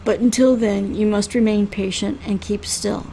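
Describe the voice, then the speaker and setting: A woman reading a visual novel aloud as a grave male healer, measured, earnest and stern but caring. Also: medium pitch, steady tone, creaky, dark tone, slight background noise, lightly acted, rough recording. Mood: firm.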